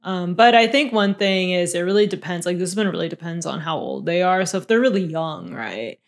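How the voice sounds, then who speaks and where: A young woman co-hosting a podcast in a small room, talking in a relaxed, reflective way and laughing often, close to a studio microphone. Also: fairly low pitch, fairly quick. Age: young adult. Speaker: podcast co-host. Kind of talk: casual chat. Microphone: boom mic on stand.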